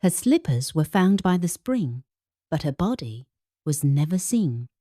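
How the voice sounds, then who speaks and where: A woman's voice: deep voice, very animated, measured pace, warm tone, no echo, clean studio sound, heavily acted. A Nigerian-accented woman voicing a stern, resentful elderly village man in a folktale, grave and accusing.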